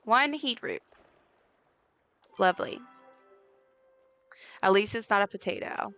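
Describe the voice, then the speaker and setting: Raspy-voiced woman streamer chatting. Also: mid-range voice, huge pitch swings, slow. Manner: chatty, rambling with many ums and likes. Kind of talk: casual live gameplay chat. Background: soft game music.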